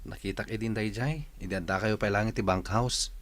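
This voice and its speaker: A man's voice: lowish voice, steady tone, moderate pace, close to an everyday voice. A man with a Filipino accent playing a respectful young village bachelor in a comedy drama.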